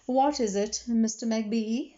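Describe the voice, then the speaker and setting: A woman voicing a composed, firm but kindly head librarian in a children's storybook, measured and polite. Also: fairly high pitch, dark tone, dull audio. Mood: quiet, sad.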